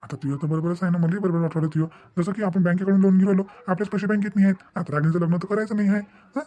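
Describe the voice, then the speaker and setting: A man's voice: sits high, steady tone, rapid-fire, dark tone, lightly acted. A man with a Vidarbha Marathi accent playing a muddled, easily swayed young husband in a cartoon family drama, speaking hesitantly. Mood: yielding.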